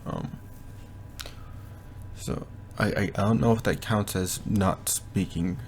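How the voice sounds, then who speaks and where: A young man giving a casual, rambling progress update from his bedroom, often pausing to think aloud. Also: on the low side, a little hiss or hum. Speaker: language learner vlogger. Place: bedroom at home.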